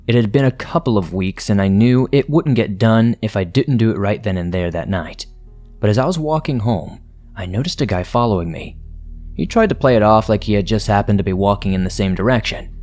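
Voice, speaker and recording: Guy reading horror stories, lowish voice, soft music in the back. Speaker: horror story narrator. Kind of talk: scripted narration of submitted stories.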